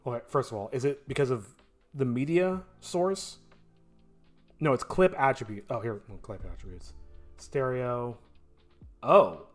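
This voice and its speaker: A man thinking aloud in casual, self-questioning, sometimes profane commentary while he edits his animated show. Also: medium pitch, moderate pace, soft background music.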